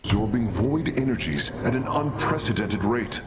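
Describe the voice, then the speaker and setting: An actor playing a sober, analytical alien officer in a video game, formal, measured and grave. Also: male voice, animated, close to an everyday voice. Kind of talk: video game cutscene acting. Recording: loud rumbling ambience behind. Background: loud ambient noise.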